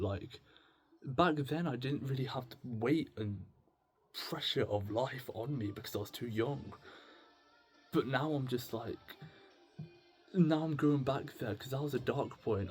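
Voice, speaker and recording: A man's voice: medium pitch, darkish tone, slightly rough. Rambling young man, confessional. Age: late teens. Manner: rambling, stammering, thinking aloud. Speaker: video blogger. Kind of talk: casual confessional monologue to camera.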